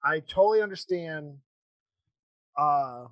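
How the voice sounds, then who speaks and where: A podcast host in a casual chat, speaking in hesitant, halting phrases. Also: medium pitch, animated, fairly bright tone, no room sound.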